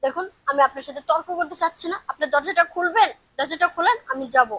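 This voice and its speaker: High-pitched feisty delivery woman played by an actress. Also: fast, a bit bright, no room sound. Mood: indignant, protesting. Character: feisty female delivery worker, indignant and bargaining. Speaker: actor in an amateur drama video. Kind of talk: amateur melodramatic skit dialogue.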